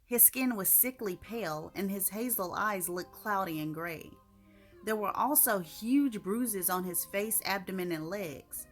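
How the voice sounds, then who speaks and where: A woman reading her own short story aloud as an expressive storyteller. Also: mid-range voice, unhurried, soft background music.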